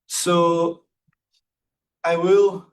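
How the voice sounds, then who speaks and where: A young man in his twenties from Nepal, giving a formal thesis defense talk in an earnest, measured way with many fillers. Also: fairly high pitch, flat delivery, distant mic. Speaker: PhD candidate presenting thesis defense. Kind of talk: formal academic presentation.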